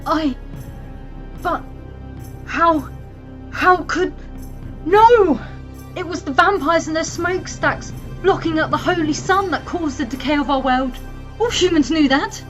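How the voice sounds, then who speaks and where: An actress playing a shaken but defiant young alchemist and vampire hunter, dramatic and distraught, in an audio drama. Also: female voice, very high voice, a little slow, pauses often, a bit bright, soft background music. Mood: shocked denial. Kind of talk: fan audio drama acting.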